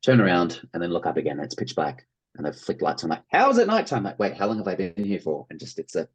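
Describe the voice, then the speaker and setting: An Australian man who works as an actor, telling stories casually as an interview guest in an animated way. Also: low-pitched, fast, husky. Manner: animated anecdote-telling, acting out quoted reactions.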